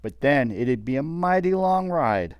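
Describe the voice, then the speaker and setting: An actor playing a chatty, plain-spoken gas station attendant with folksy, colloquial talk in a radio drama. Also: male voice, medium pitch, big pitch swings, measured pace, clear, resonant tone, no room sound, muffled recording. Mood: incredulous, folksy. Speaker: supporting actor.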